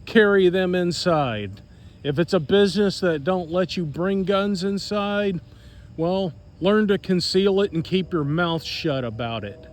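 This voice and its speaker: A man's voice: sits high, lively intonation, unhurried. An American man telling a personal story casually to followers, in a blunt, sarcastic, plain-spoken manner. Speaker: storyteller recounting own experience to followers.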